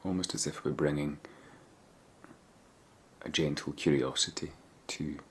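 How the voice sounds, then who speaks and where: A man leading a guided body scan meditation, giving gentle, measured instructions with pauses. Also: rumbling low, steady tone, a little hiss or hum.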